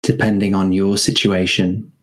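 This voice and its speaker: A man guiding a meditation in a gentle, unhurried manner, leaving spacious pauses between short phrases. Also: deep voice, level tone. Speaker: meditation guide. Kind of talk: guided meditation.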